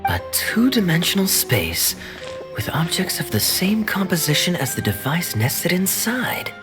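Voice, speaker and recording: A man voicing an articulate young male scholar in a game dub, explaining things in a measured, analytical way. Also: high-pitched, sing-song. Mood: thoughtful. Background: game music.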